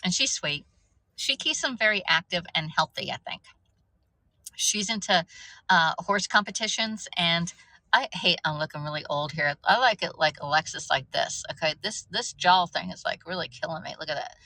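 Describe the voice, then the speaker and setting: Woman, 50s, livestream host, chatty and rambling, lowish bright voice. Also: expressive, normal speed, dry recording, rough recording. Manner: rambling, chatty, talks to viewers by name. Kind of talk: casual livestream chat.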